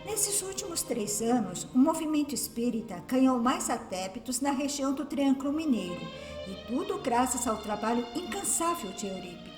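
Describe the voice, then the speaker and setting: A woman acts a serene spirit benefactor in a Brazilian radio drama. Her voice is high, solemn and instructive. It floats echoing from some distance, with music clearly underneath. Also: big pitch swings, moderate pace.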